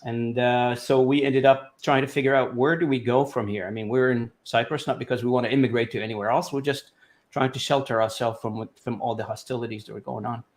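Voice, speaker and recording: A man's voice: medium pitch, steady tone, fairly quick. A man in his fifties, originally from Lebanon, talks through his life story as an interview guest. He rambles a bit, stacking fillers and restarting his sentences, and he sounds like he is on a video call.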